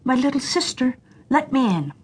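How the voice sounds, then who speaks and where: Bewitched fawn boy for a storybook, read by a woman: raised pitch, restless, eager, impulsive. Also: big pitch swings, normal speed, slight background noise. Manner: earnest, impulsive. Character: eager, restless young male deer, bewitched brother. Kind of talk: children's fairy tale storytelling.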